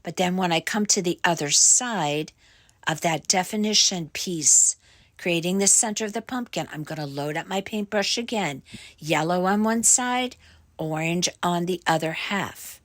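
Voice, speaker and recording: A woman hosting a craft tutorial, her voice raspy and croaky from a cold, explaining each step slowly with fillers and self-corrections. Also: on the low side, a bit bright, no room sound. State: hoarse from a cold.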